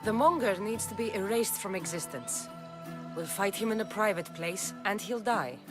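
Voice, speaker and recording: An actress plays a cool-headed female mercenary in an ancient Greek game drama. She is terse and blunt, with a medium-pitched voice that moves widely in tone. Music is clearly audible behind her. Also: expressive, moderate pace, lightly acted. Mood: firm, businesslike. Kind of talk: dramatic video game acting.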